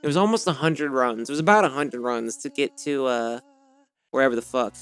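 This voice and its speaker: A slightly hoarse man streaming a game, reading its story text aloud and then chatting casually, rambling and correcting himself with many fillers. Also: mid-range voice, a little fast, faint music behind.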